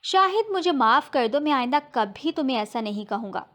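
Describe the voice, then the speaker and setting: An earnest little schoolboy in a storybook, voiced by a woman narrator. His voice is high and childlike as he speaks up for himself. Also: animated, brisk, fairly bright tone. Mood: sorry. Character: little schoolboy, defensive then apologetic.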